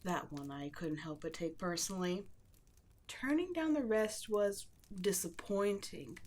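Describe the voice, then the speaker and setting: A woman playing a seductive, condescending demon queen in a scripted ASMR roleplay, gloating at the listener and dropping at times into breathy whispers. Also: on the low side, animated, darkish tone. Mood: wounded, offended. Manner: slow, taunting, condescending, drops into breathy whispers.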